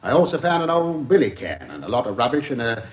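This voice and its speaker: A man's voice: mid-range voice, big pitch swings, fast talker, close to an everyday voice. A man playing a methodical, deferential English police inspector giving careful, factual evidence in a radio drama. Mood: dutiful, factual.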